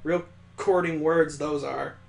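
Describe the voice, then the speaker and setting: A man hosts a gaming video in a somewhat high-pitched voice. He comments on the story as he reads it, with a joking, sarcastic manner that pokes at the game. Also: steady tone, slow, gravelly, reverberant. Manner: sarcastic, mocking asides. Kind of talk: let's play reading aloud with joking asides.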